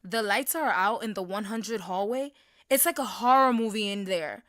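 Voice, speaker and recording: A woman playing a hot-tempered, defiant Puerto Rican high school girl in an audio drama, slangy and mocking, sprinkling in Spanish. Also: normal speed, fairly bright tone, slight background noise, close to an everyday voice. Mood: complaining.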